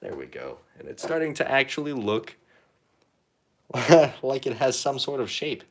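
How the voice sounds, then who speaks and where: A young man chatting casually while painting on a live stream, rambling and self-deprecating, his pitch rising at the ends of phrases. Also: sing-song, measured pace, fairly bright tone. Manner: rambling, self-deprecating, reads chat comments aloud. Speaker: painting livestreamer.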